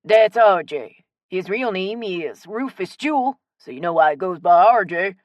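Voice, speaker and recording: A woman's voice: mid-range voice, animated, measured pace, no room sound, muffled recording, close to an everyday voice. A woman narrator voicing a talkative, friendly Cajun man who likes to tease, in an audiobook story. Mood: jovial.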